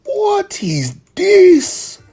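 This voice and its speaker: A man voicing a furious shouting man who vows revenge by his ancestors, shrill and theatrical. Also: wildly swooping pitch, very slow, heavily acted.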